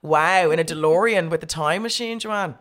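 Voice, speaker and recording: A woman's voice: on the low side, normal speed, a bit bright. An Irish woman in her thirties, chatting candidly and telling stories in a frank, self-mocking way. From Ireland. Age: 30s. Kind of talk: candid casual chat.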